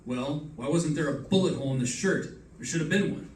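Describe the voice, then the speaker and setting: A man's voice: a little high, fairly quick, a little mellow, close to an everyday voice. A man playing an unflappable, wry detective in a live radio play, assured and matter-of-fact. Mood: wry confidence. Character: unflappable wry male detective reconstructing a murder. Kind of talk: live old-time radio drama acting. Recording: echoey stage, distant mic, background noise.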